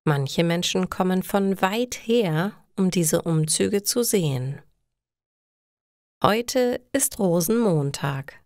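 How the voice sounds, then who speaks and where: A woman reading scripted stories slowly and carefully for language learners. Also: on the low side, animated, darkish tone, no room sound, clean studio sound. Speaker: storyteller for German learners.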